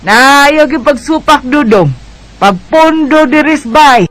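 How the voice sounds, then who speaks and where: A man's voice: very high-pitched, expressive, slow pace, a bit bright, full character voice. A man with a Bisaya Filipino accent voicing a strict, protective village aunt with a firm, scolding manner in a radio drama. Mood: stern. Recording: faint background noise.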